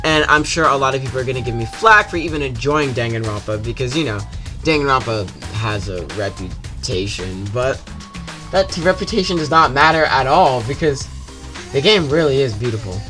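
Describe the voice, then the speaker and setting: A man's voice: mid-range voice, expressive, moderate pace, music in the background. A man giving a casual, jokey, scripted video game review as a YouTuber, with some swearing.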